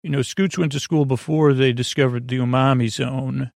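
Guy with a gravelly creaky voice doing a silly meandering bedtime podcast, kinda lulling, super dry close sound. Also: medium pitch, steady tone, normal speed, a little mellow, no room sound. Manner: meandering, fumbles words, silly and self-deprecating; soothing, lulling. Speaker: podcast host. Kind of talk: rambling, improvised sleep podcast monologue.

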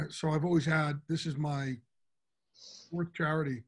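A middle-aged man with a big, enthusiastic voice, pitched a bit higher than most men. He answers interview questions in a candid, from-the-heart way. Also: fairly even intonation, unhurried. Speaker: interview guest. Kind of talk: casual interview talk.

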